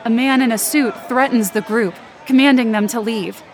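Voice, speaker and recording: A woman's voice: a little high, normal speed, darkish tone, a faint crowd, a little hiss or hum, lightly acted. A young woman playing an anxious but determined narrator in a supernatural audio drama, earnest and descriptive. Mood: tense. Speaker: narrator and lead character.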